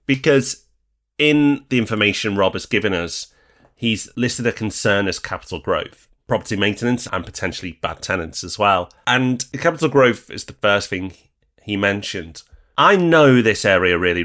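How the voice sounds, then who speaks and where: A man's voice: lowish voice, sharp tone. A man speaking conversationally as a podcast co-host about property, in an informal, bantering manner.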